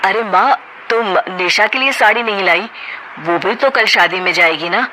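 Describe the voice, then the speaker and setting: A woman voicing a gentle, soft-spoken young husband who tries to calm others. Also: medium pitch, moderate pace, slight background noise, natural voice, rough recording.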